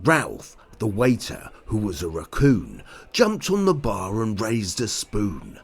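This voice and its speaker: A man narrating a rhyming children's picture book in a theatrical, deliberate way. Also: on the low side, wildly swooping pitch, slight background noise. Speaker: storybook narrator. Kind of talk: rhyming children's story read-aloud.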